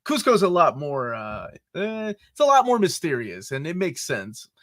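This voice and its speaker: A man in his forties from Peru hosting a casual interview, excitable and joking, often jumping up in pitch when animated. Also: moderate pace. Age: 40s. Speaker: podcast host.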